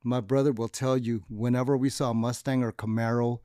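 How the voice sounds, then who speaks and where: A man born in the Philippines, with Tagalog as his first language, talks through his memories in a loose, rambling way. His voice sits at an ordinary middle pitch. The sound is dry and close. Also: little pitch movement, a little fast, warm tone, clear, resonant tone, no echo. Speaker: guest, lowrider club member. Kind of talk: casual interview storytelling.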